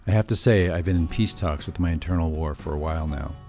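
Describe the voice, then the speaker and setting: A man's voice: very deep voice, quick pace, mellow, soft background music. A middle-aged man from California narrating his own family story in scripted documentary style, with wry, self-deprecating asides.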